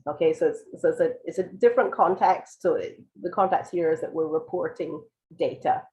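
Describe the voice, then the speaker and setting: Croaky low-voiced woman with a cold. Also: brisk, mellow, rough recording. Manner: explains patiently with many ums and restarts. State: has a cold, croaky and congested. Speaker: IELTS writing teacher. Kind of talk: live online lesson, answering viewer questions.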